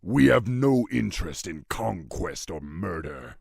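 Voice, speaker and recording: A man voicing a noble, resolute orc warchief in a fantasy game, with a deep husky voice and weighty, stately delivery. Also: sing-song, measured pace, a little mellow, no room sound, studio quality, heavily acted. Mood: solemn, resolute. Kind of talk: fantasy video game acting.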